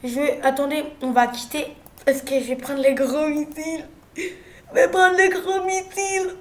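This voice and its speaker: A young woman with a cold, chatting playfully through gameplay commentary, jumping from loud exclamations to quiet mumbles. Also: high voice, steady tone, a little slow, echoey room. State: has a cold. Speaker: gaming youtuber.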